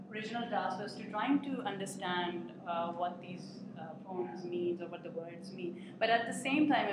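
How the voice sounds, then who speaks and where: A woman whose first language is Urdu talks thoughtfully about her art, pausing often with filler sounds. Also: on the low side. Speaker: visual artist. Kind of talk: artist talk about her work. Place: art gallery. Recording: very echoey room with steady background noise.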